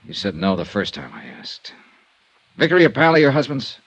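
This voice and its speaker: An actor playing a tough, wry insurance investigator in a 1950s radio drama, clipped and probing. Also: male voice, fairly low pitch, huge pitch swings, a little fast, fairly bright tone, breathy edge, no echo, dull audio, full character voice. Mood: insistent, earnest. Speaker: lead actor and narrator.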